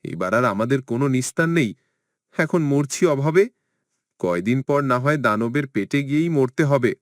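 A man's voice: mid-range voice, lively intonation, normal speed, dry recording, studio quality, close to an everyday voice. A man playing a fearful, poor village fisherman and father in a cartoon, lamenting and scolding with fatalism.

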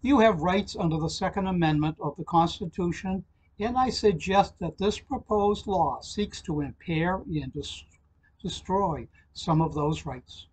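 Older man, husky high voice, formal address. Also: low-quality recording. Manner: formal, measured, reads out numbers and web addresses slowly. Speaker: channel host giving a legislative alert. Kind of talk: prepared informational address to camera. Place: small office indoors.

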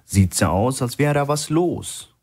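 A man's voice: mid-range voice, expressive, a little slow, a little mellow, voice pushed up. A man voicing a big, blunt, sceptical male operative in a thriller audiobook. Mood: blunt, wary.

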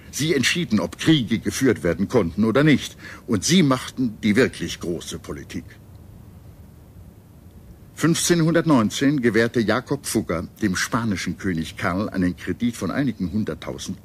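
A man reading scripted history documentary narration in a measured, solemn and dramatic manner. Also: lowish voice, a little hiss or hum.

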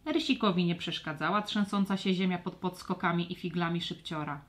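A woman narrating a scripted children's audio story with expressive, storybook delivery. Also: fairly low pitch, brisk.

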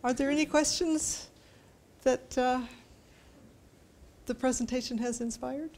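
A woman's voice: high voice, fairly even intonation, measured pace, a little mellow. A middle-aged woman speaking informally to a room as she leads questions, often restarting her phrases. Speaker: speaker leading a talk and question session. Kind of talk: informal public talk, taking questions. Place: indoor meeting room with audience. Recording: room ambience, some background noise.

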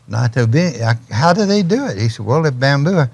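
A man's voice: mid-range voice, big pitch swings, a little fast, creaky, a little mellow, slightly rough. An older man, a ministry guest on a TV studio set, telling stories in a folksy way and building to urgent questions. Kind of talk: storytelling in a studio interview.

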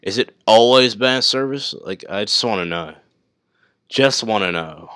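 A man's voice: on the low side, animated, measured pace, fairly bright tone, no room sound, clean studio sound. A man with a country American accent rambling casually and slangily on a prank phone call. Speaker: prank caller and video maker.

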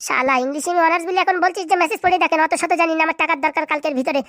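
Woman acting a shrill, bossy madam, very fast. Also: fairly bright tone, no echo, clean studio sound. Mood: outraged. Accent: rural Bengali. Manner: scolding, blustering, insult-laden. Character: bossy, quick-tempered madam, scolding and cursing. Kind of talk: comic cartoon dialogue.

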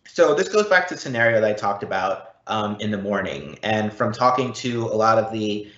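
A man giving an explanatory live online presentation as a technology consultant, often checking in with a quick "right?" or "okay?". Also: on the low side, expressive, fairly bright tone. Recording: roomy, slightly dull online event audio.

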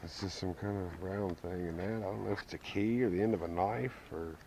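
A man's voice: low voice, brisk, dark tone, a little hiss or hum. Plain-spoken man outdoors in the woods. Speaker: companion on the trip.

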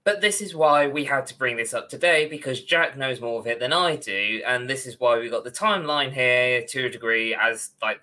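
A young man with a bright, sharp-edged voice co-hosts a podcast. He sits at mid pitch and gives his views in loose, casual conversation. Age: young adult. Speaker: podcast co-host. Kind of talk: casual opinionated discussion. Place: small room at home, on a video call.

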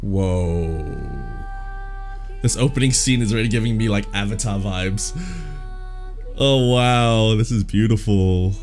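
Excited man reacting over background music. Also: on the low side, measured pace, long pauses. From Borneo, Malaysia. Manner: enthusiastic, exclaiming in wonder. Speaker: YouTube reaction host. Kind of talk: casual reaction commentary. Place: small home studio room. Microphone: boom-arm studio mic. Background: music playing from the video.

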